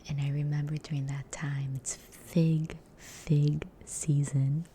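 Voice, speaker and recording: A young woman whispering a rambling story close to the mic, softly repeating words to herself. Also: low voice, flat delivery, slow, a little hiss or hum. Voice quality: unvoiced whisper.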